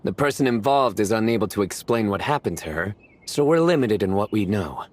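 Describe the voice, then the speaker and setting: An actor playing a terse, serious young man who speaks in a flat, matter-of-fact way. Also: lowish voice, brisk. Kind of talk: game cutscene voice acting. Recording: studio voice with faint music.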